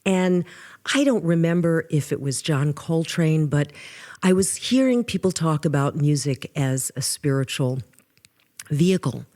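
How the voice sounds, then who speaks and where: An older woman from New with a low voice, telling lively stories as a guest in a casual interview. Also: a little slow. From New York. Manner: animated storyteller, restarts often. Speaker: guest musician.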